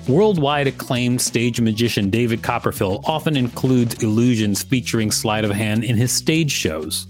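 A man's voice: medium pitch, normal speed. Man co-hosting a podcast, music underneath. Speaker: podcast co-host. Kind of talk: reading an encyclopedia article aloud with casual banter.

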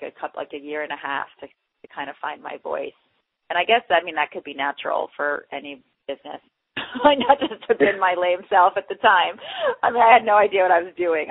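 A woman's voice: sits low, vocal fry, no room sound. A woman podcast host talking in a rambling, chatty way with frequent laughs and fillers. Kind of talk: casual interview chat. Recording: tinny phone-like line.

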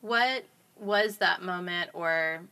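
A woman hosting a podcast, asking casual interview questions and halting as she searches for words. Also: lowish voice, bright, forceful tone, smooth. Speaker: podcast host.

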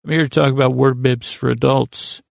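Guy doing a bumbling earnest salesman on a podcast skit, super creaky, flustered but insistent, dry sound. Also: mid-range voice, steady tone, normal speed, dry recording. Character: bumbling, earnest male talk-show guest pitching a product.

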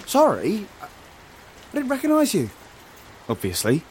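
A man's voice: sits high, huge pitch swings, a little slow, darkish tone, slight background noise, heavily acted. An actor playing a wary young male survivor in an audio drama, speaking in a blunt, startled manner. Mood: startled, sheepish. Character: wary young male survivor, armed, gruff but friendly.

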